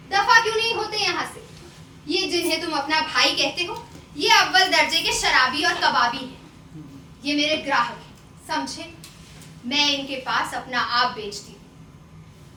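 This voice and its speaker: A woman on stage playing a proud, fed-up, sharp-tongued courtesan, scolding in a declamatory way. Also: high voice, measured pace, pauses often. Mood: exasperated, defiant. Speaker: stage actor. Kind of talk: Hindi stage play acting. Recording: roomy, distant stage recording with noise.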